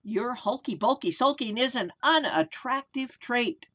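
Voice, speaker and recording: A woman's voice: fairly high pitch, lively intonation, measured pace, sharp tone, voice pushed up, full character voice. This is a perky little storybook sea creature played by a woman reader. It coaxes and chides in a lifted, lilting delivery, urging cheer. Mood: coaxing, chiding.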